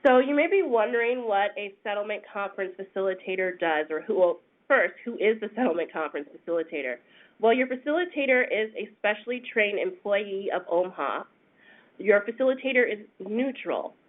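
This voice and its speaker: A woman's voice: mid-range voice, animated. Congested woman presenting over a phone line. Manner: measured, explanatory, with frequent "um"s. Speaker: senior attorney presenting a webinar. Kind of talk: explanatory webinar presentation. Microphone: phone conference line.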